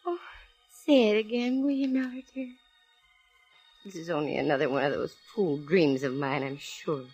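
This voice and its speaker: A woman's voice: deep voice, normal speed, darkish tone, slightly rough, highs cut off. Spirited American lady detective, vintage radio. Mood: tender, dreamy. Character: spirited female private eye, sweetly lovestruck and flustered. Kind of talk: 1940s radio acting. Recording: vintage radio recording, organ music.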